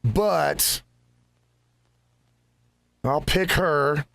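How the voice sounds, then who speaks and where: A man's voice: a little high, very slow, vocal fry, fairly bright tone, dry recording. A man hosting a game playthrough, chatting casually in a musing, rambling, conversational way. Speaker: host of a gaming channel.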